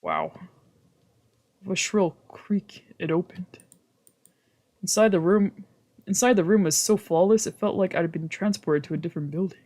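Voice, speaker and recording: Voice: mid-range voice, normal speed, long pauses, darkish tone. A streamer reading a visual novel's narration aloud in first person, translating the lines, with whispered asides.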